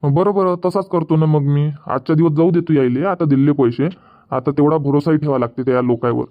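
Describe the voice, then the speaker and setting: A man's voice: fairly high pitch, brisk, lightly acted. A man playing plain-spoken village orange farmers in a cartoon comedy, chatting colloquially with a Varhadi Marathi accent from Vidarbha. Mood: earnest. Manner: colloquial, repetitive rustic chatter. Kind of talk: dubbed cartoon comedy dialogue. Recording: dull lo-fi audio.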